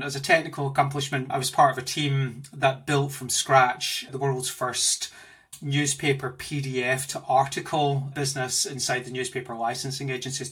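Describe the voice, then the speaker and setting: A man in his forties with a Glasgow accent talks with lively enthusiasm from his home office. His voice is bright and carries a slightly husky edge. He tells long, rambling stories about his career in the style of a podcast interview. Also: medium pitch. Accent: Glaswegian. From Scotland. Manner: animated, enthusiastic storyteller. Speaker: guest, tech executive.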